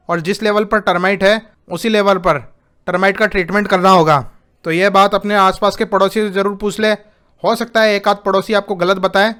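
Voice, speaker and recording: A man's voice: sits high, a bit bright, clean tone. A man with a sore throat hosting a home-building tips channel, explaining steadily and often addressing viewers as friends. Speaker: YouTube host giving home-building tips. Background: background noise.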